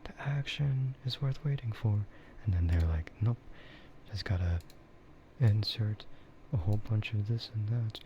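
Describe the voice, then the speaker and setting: A soft-spoken man chatting casually and haltingly in a laid-back ASMR livestream style. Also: fairly low pitch. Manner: chuckles often while talking. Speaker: VTuber livestreamer. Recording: muffled audio with clear background noise.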